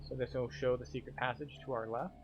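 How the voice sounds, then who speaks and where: A man's voice: medium pitch, fairly even intonation, fast, lo-fi sound, background noise. A man narrating a video game walkthrough as a tour guide, explaining each step. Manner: explanatory, guiding.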